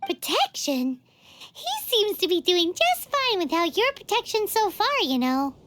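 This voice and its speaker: A woman voicing a tiny excitable, cheeky fairy girl with a squeaky childlike voice in a video game. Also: unhurried, a little hiss or hum, exaggerated voice. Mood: skeptical. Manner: speaks of self in third person, exclamatory. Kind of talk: video game character acting. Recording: game music behind the voice.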